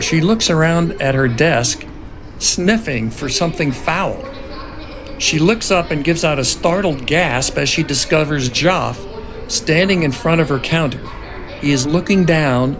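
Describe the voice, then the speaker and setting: A man with a gravelly voice reading scene directions as narrator of a dramatic script reading. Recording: noticeable background rumble.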